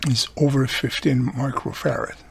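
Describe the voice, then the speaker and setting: A man's voice: mid-range voice, steady tone, moderate pace, gravelly, noisy background. A man giving a casual hands-on repair demonstration, explaining each step plainly as he works. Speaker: radio repairer and video host.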